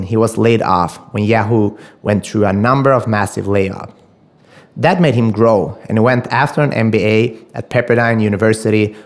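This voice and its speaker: A man, introducing and questioning a guest as an interview host. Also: fairly low pitch. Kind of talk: conversational interview hosting.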